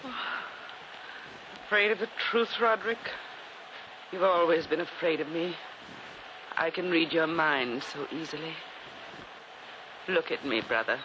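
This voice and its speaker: An actress playing a dying, bitter and commanding aristocratic sister in melodramatic 1940s radio horror style. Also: female voice, deep voice, a little slow, long pauses. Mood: bitter, morbid. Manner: melodramatic, imperious. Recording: muffled, noisy 1940s radio recording.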